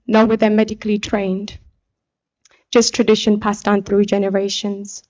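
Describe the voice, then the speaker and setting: A young woman from Somalia giving a personal testimony speech as an activist, speaking in an earnest, deliberate way. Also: medium pitch, a little mellow, muffled recording. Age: young adult. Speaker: pageant contestant and activist speaker.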